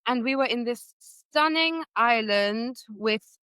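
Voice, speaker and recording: A woman's voice: on the high side, slow, pushed and bright, clean tone, no echo. A woman scholar from Trinidad, a guest in a conversation, reflectively recounting a personal story. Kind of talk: conversational interview.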